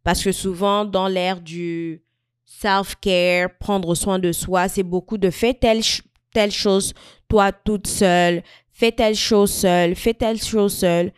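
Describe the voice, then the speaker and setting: A woman in her mid-twenties from Equatorial Guinea, talking thoughtfully to camera in a personal vlog, with frequent pauses and restarts. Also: mid-range voice, steady tone, clear, resonant tone, no echo, clean studio sound. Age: 20s. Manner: thoughtful, searching, many fillers and restarts. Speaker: vlogger. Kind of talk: reflective personal talk to camera.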